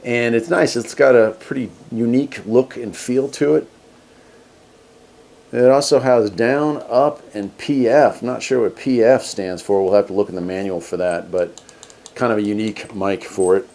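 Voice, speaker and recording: A man's voice: medium pitch, normal speed, creaky, warm tone. A man hosting a casual hands-on product review, talking in a laid-back, folksy way. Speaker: host of a radio gear review channel.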